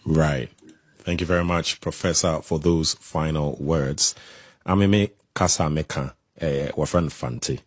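A man from Ghana hosting a radio discussion, speaking slowly and deliberately. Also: extremely low pitch, steady tone, slightly rough, dry recording, studio quality. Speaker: radio show host.

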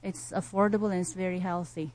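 A woman's voice: lowish voice, fairly even intonation, a little fast, a little mellow. Woman from Turkey, earnest speaker. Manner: earnest, many fillers. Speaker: music educator. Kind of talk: casual interview answer.

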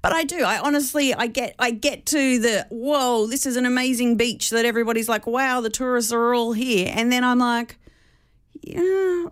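A woman hosting a casual radio chat, rambling warmly and leaping up in pitch when quoting her own reactions. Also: moderate pace. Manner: animated, rambling, pitch leaps on quoted reactions. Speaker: radio host.

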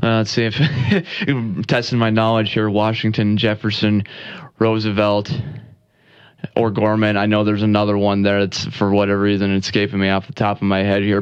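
A man working as a play-by-play broadcaster, speaking in a casual radio interview. He talks in a halting way, repeats phrases and laughs easily. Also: lowish voice, clean tone, no echo. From Upper Midwest, United States. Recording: dull lo-fi radio studio audio.

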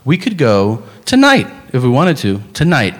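A man's voice: medium pitch, huge pitch swings, normal speed. A man doing live stand-up comedy, telling stories in an incredulous, emphatic, casually profane way.

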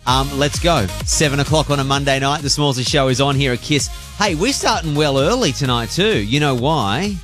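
A man's voice: mid-range voice, moderate pace, few pauses, fairly bright tone, faint music behind. A man hosting an evening radio show, chatty and playful, teasing his co-hosts.

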